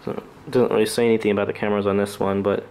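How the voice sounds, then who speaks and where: A man giving an unscripted product review, speaking in a hesitant, halting, off-the-cuff way as a channel host. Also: on the low side, level tone, darkish tone, slight background noise.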